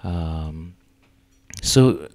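A man's voice: extremely low pitch, lively intonation, extremely slow, darkish tone. A man in his thirties, an artist speaking on a panel in a gallery, talking hesitantly with many fillers into a handheld mic. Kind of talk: panel discussion. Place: gallery room with audience.

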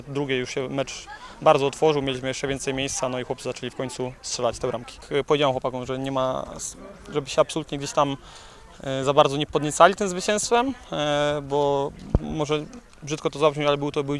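A man in his thirties, a youth football coach, giving a post-match interview outdoors by the pitch, thoughtful and full of hedges and restarts. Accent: Polish.

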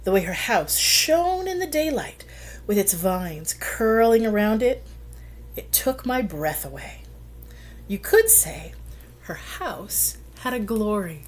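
A middle-aged woman reading a children's picture book aloud, with expressive, deliberate storytelling and drawn-out phrases. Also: mid-range voice. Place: small plain room indoors.

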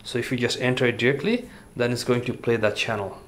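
A man's voice: mid-range voice, fast, slight background noise. A man giving a casual hands-on review at a table indoors. He talks loosely, with plenty of "you know" and "uh" fillers. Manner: relaxed, filler-heavy, says "you know" often. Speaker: product reviewer. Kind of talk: casual hands-on product demonstration.

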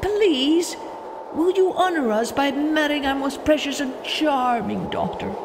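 High squeaky little father mole, eager and begging, a bit gravelly, man puts it on. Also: lively intonation, unhurried, background noise, heavily acted. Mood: pleading. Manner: eager, pleading, formal. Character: fussy proud father mole, male, small.

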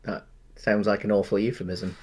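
A man's voice: on the low side, flat delivery, moderate pace, breathy edge. A man, co-hosting a casual film chat over a video call, rambling through restarts. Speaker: podcast co-host. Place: home room on a video call.

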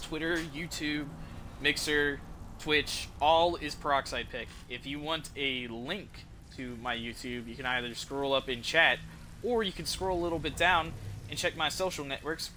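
Higher-voiced male streamer, noisy audio. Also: bright, forceful tone. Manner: informal, rambling. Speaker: game streamer. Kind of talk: casual livestream chat over gameplay. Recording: noisy stream mic with game ambience. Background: game audio.

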